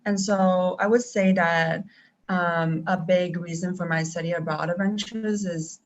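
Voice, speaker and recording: A woman's voice: on the low side, fairly even intonation, a little slow. A young woman chatting casually on a live video call, with lots of ums and restarts. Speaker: traveling teacher and guest. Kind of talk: live-stream video chat. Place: home in Taiwan. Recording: dull lo-fi video call audio.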